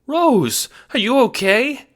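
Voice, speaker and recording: A small, anxious cat sidekick from an audio drama, acted by a man. The voice is pitched well up and wheezy, full of fear. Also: very animated, slow pace, background noise, exaggerated voice. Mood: worried. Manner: fearful, anxious. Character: frightened, wheezing cat companion with tentacles.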